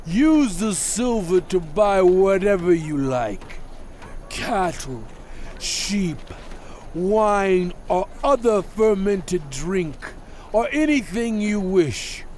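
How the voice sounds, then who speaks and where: Very slow, solemn lawgiver. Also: male voice, sits high, expressive, a little mellow, a little hiss or hum, heavily acted. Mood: solemn, instructive. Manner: deliberate, measured, in short separated phrases. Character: solemn male lawgiver instructing his people. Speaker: narrator of a dramatized audio Bible. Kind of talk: solemn dramatized scripture reading.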